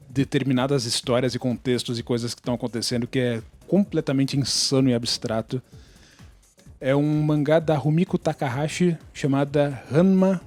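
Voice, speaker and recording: Podcast regular, male, Brazilian accent, mellow dark timbre, wordy explainer, dry sound over faint music. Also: mid-range voice. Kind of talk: casual chat.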